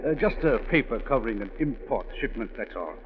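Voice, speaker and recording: A man's voice: mid-range voice, animated, fairly quick, close to an everyday voice. An actor playing a pompous, stoutish businessman with genteel, hesitant speech in a 1940s radio drama. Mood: smug, genial. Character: stoutish self-important gentleman importer, eager to impress, secretive. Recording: tinny, muffled old radio recording.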